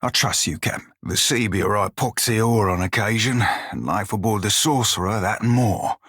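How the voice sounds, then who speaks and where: A roguish little furry from a fantasy video game, speaks in a husky, lowish voice with a salty drawl. He is cocky, wry and bawdy but loyal, and the recording is dry and close. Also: moderate pace, fairly bright tone, no echo. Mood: sincere, fond. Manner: salty, drawling pirate dialect, sly and wry. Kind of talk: video game character dialogue.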